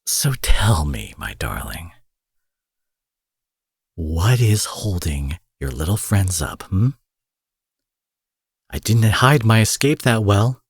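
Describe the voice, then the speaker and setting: A man's voice: deep voice, big pitch swings, slow, pauses often, breathy edge, dry recording, studio quality, heavily acted. A man playing an angry, menacing supervillain in an audio drama, profane, sarcastic and theatrical, taunting with mock affection. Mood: smug, taunting. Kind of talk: roleplay audio drama monologue.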